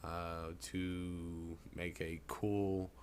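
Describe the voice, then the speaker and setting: A man presenting a casual software tutorial in a folksy, informal way. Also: deep voice, level tone, very slow. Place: indoors at a computer. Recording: clear background noise.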